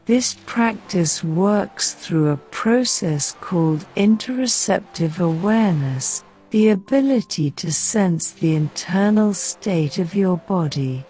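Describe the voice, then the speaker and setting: A woman guiding a sleep meditation in a gentle, soothing, measured way, reading scripted narration with pauses between phrases. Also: lowish voice, lively intonation. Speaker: meditation guide. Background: soft music.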